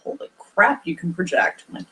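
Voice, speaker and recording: Woman co-host, lively storyteller with a big projecting voice, casual review banter. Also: lowish voice, fairly quick, slight background noise. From former record store worker. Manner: animated storyteller, loud projecting voice. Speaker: podcast co-host. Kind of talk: casual movie review chat.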